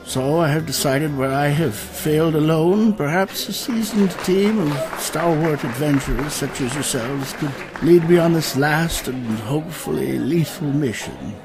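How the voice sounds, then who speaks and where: A man's voice: fairly high pitch, lively intonation, measured pace, hardly any pauses, poor audio. A man playing a courteous, weary, eccentric old knight in his seventies, speaking formally and hesitantly in a comic fantasy audio drama. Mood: earnest, courtly. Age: 70s in character. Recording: music behind the voice.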